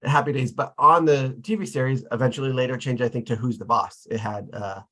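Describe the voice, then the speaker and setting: Guy, medium pitch, casual group chat, kinda unsure and keeps correcting himself. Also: steady tone, fairly quick, fairly bright tone. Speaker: table read participant.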